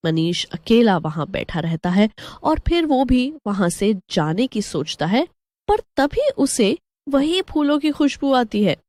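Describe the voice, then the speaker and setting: A woman's voice: a little high, sing-song, measured pace, darkish tone, dry recording. A woman narrating a scripted story as the storyteller.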